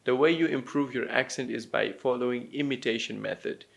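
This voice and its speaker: A man's voice: mid-range voice, brisk, vocal fry. A man from Pakistan with a Pakistani accent touched by American, teaching viewers in an encouraging, simple step-by-step way. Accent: Pakistani with some American influence. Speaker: language teacher and YouTuber. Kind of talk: instructional talk to viewers.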